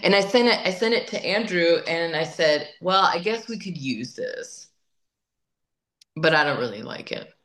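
A woman's voice: fairly low pitch, animated, normal speed, fairly bright tone. A woman singer-songwriter being interviewed, talking in a chatty, emphatic way and often repeating words while she thinks. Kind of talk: casual interview conversation.

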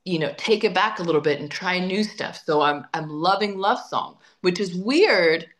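A woman's voice: fairly low pitch, lively intonation, moderate pace. A woman singer-songwriter being interviewed, talking in a chatty, emphatic way and often repeating words while she thinks. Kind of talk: casual interview conversation.

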